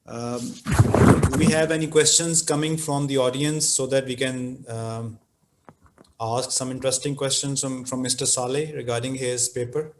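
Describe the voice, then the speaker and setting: A middle-aged man, chairing a conference Q&A over a video call in a measured way, with fillers. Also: medium pitch, fairly even intonation, slight background noise. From Pakistan. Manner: measured, with fillers and repeated phrases. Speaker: session chair. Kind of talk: moderating a conference Q&A. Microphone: laptop mic on a video call.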